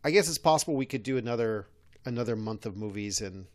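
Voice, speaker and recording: A man's voice: on the low side, animated, quick pace. A man co-hosting a podcast in casual, unscripted chat, often repeating and correcting his words. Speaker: podcast co-host.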